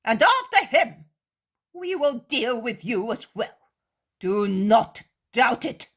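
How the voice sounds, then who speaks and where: A woman doing a wrathful, threatening high priest. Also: big pitch swings, slow pace, exaggerated voice. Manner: menacing, shouting.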